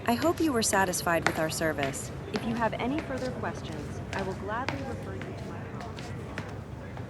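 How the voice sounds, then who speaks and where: A woman's voice: mid-range voice, animated, moderate pace, very noisy, close to an everyday voice. A woman playing a politely dismissive office clerk in an audio drama, with formal, scripted customer-service phrasing. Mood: bland, polite.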